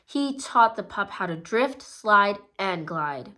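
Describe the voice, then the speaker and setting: A woman narrating a kids' picture book in a calm, gentle read-aloud voice of middle pitch. She keeps an easy, steady storytelling pace and now and then trips over a word and fixes it. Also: takes it slowly. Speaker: storybook reader.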